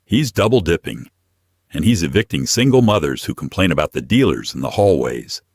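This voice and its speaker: A man voicing a blunt, grim mafia lieutenant giving gruff reports in an audio crime story. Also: low voice, sing-song, fairly quick, a little mellow, no echo, heavily acted. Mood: grim briefing. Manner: blunt, grunting.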